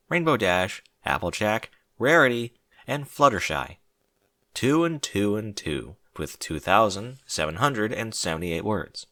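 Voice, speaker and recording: A man reading a story aloud as narrator in an expressive, scripted storytelling style. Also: fairly low pitch, dry recording, clean studio sound.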